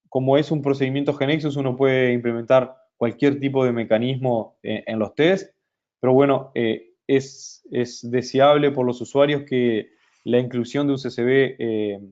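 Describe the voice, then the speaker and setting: A man presenting a software product in a webinar, talking slowly with many fillers and restarts. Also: medium pitch, dull audio. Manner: hesitant, many fillers and restarts, speaks slowly in Spanish for a Brazilian audience.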